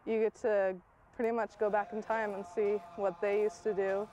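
A woman's voice: mid-range voice, little pitch movement, normal speed. A young woman, an apprentice carver, talking casually outdoors.

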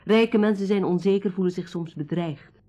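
A woman's voice: medium pitch, normal speed, mellow, highs cut off, natural voice. An actress playing a confident, capable woman who helps servants, in a Dutch radio drama. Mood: explaining, assured.

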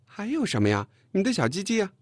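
一位男演播者在有声书里演一个爱捉弄人、又爱发号施令的年轻女同事，时而撒娇，时而数落。. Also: male voice, high voice, wildly swooping pitch, no echo, pitched above the performer's own voice, full character voice. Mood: teasing, coaxing. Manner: mocking, quick to pout and scold. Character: brash teasing young woman colleague, playful and bossy. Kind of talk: audiobook character dialogue.

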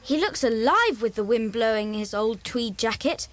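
Excitable British schoolgirl adult actress, high animated voice, emphatic, children's audio drama. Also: normal speed, soft background music, natural voice. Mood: excited.

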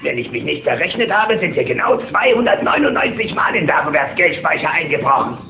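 An actor playing a scheming gangster boss with a creaky voice in a German children's cartoon audio drama. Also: male voice, a little high, very animated, brisk, reverberant, narrow, tinny audio, faint music behind.

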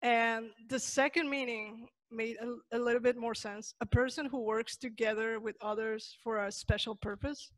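A young woman from Peru, a Spanish native speaker with a Peruvian accent, gives an online lecture over slides, pausing often with fillers and restarts. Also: on the high side, fairly even intonation, no echo, rough recording. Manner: thoughtful, many fillers and restarts. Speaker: webinar presenter.

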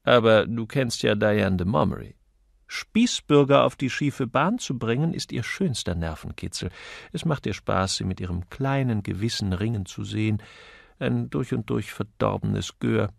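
A man's voice: on the low side, lively intonation, normal speed, gravelly, no echo, studio quality, exaggerated voice. A man voicing a witty, flippant upper-class amateur detective in an audiobook, chatty, wry and urbane. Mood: amused, flippant.

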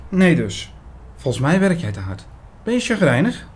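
A man's voice: on the high side, huge pitch swings, moderate pace, husky, heavily acted. Earnest young male priest, played by an actor. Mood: light, puzzled. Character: earnest young priest Hugo, gentle, hesitant, narrating his story. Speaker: narrator and lead actor. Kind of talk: Dutch audio drama narration and dialogue.

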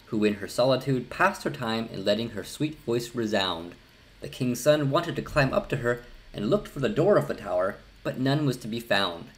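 A middle-aged man reading a fairy tale aloud as scripted storybook narration. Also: medium pitch. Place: small indoor room.

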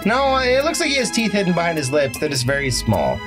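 A co-host banters through a video game session, quick to laugh, the voice bouncing all over its range. Loud game music runs underneath the talk. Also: mid-range voice, very animated. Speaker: let's play co-host. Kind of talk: casual gaming chat.

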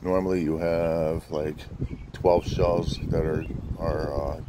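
A man with a very low, deep voice presents a product in a casual vlog style. He is informal and easygoing, often pausing and filling as he goes. The recording is outdoors, with clear wind noise around the voice. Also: little pitch movement. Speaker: fireworks channel host. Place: outdoors in a windy spot by a pickup truck bed. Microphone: handheld camera setup with an added mic.

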